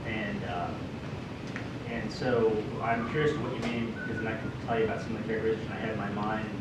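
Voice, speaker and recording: A man's voice: on the low side, quick pace. Laughing man in an echoey room. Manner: laughing, self-deprecating, animated. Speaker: writer taking feedback. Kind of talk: casual conversation. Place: event room. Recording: echoey room from far off, loud noise.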